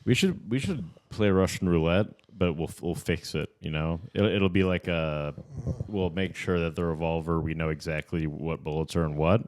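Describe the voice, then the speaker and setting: Male comedy podcast co-host with a very deep voice, loose rambling banter. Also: moderate pace, a little mellow. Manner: rambling, stammering, joking. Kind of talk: casual comedy chat.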